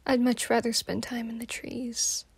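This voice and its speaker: A woman's voice: a little high, completely flat delivery, unhurried, gravelly, husky, dry recording, heavily acted. A woman playing a wistful farm girl who longs for the outdoors, in a plain-spoken storytelling monologue. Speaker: student performer. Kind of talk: dramatised oral-history monologue.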